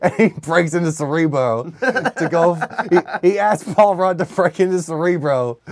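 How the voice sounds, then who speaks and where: A man's voice: a little high, animated, measured pace, creaky, no room sound. Sweary male comedy podcaster, dry close sound. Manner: crude, sweary, laughs often while riffing. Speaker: podcast co-host. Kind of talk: casual comedic banter.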